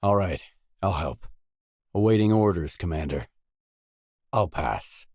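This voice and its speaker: Deep-voiced, terse mercenary man. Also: animated, a little slow, darkish tone, breathy edge, no echo, studio quality, exaggerated voice. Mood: terse, composed. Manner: terse, matter-of-fact. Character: gruff, pragmatic male stalker mercenary, guarded and businesslike. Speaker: video game protagonist. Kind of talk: video game dialogue acting.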